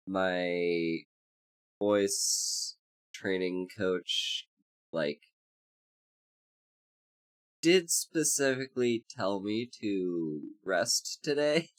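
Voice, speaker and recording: Low-pitched streamer, slow delivery, congested, hoarse, rambling casual chat. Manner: rambling, with many fillers and restarts; greets chat members by name. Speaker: VTuber streamer.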